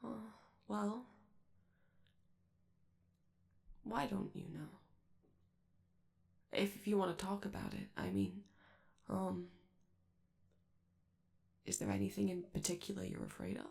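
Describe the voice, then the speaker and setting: A woman playing a friendly, awkward young male robot in a soft ASMR roleplay, with a creaky voice and a hesitant, rambling, apologetic delivery. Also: mid-range voice, sing-song, unhurried, pauses often, heavily acted. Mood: gentle, earnest.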